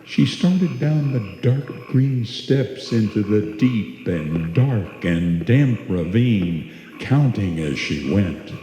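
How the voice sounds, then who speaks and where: A man playing the ominous narrator of a suspense tale, deliberate and dramatic, in old-time radio style. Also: lowish voice, animated, dark tone, faint music behind. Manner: deliberate, dramatic, repeating phrases. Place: live stage performance in a hall. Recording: echoey hall, long reverb.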